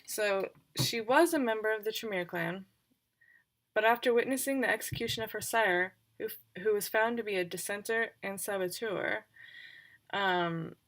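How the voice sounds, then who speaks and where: A woman game master talking casually and haltingly, thinking aloud as she recaps roleplaying characters on a livestream. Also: medium pitch, fairly bright tone, low-quality recording.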